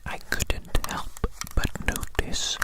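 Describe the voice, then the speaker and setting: An ASMR performer whispering through a playful roleplay, slowly repeating phrases in a babying way. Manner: slow, repetitive, playful, babying.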